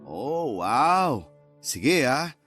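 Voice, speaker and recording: A man's voice: mid-range voice, big pitch swings, extremely slow, fairly bright tone, full character voice. A young man in a radio romance drama. He is a cocky, teasing lover, speaking in a conversational way. Faint guitar music plays behind him. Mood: teasing, drowsy. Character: young male lover, teasing, cocky, sleepy after passion. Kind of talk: Tagalog radio drama acting.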